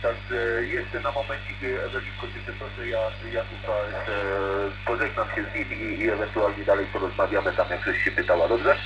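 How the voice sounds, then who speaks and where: A man's voice: fairly low pitch, steady tone, normal speed, hardly any pauses. A man chatting informally and a little hesitantly as an operator over a two-way radio. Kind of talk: casual radio contact chat. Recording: noisy, muffled CB radio transmission.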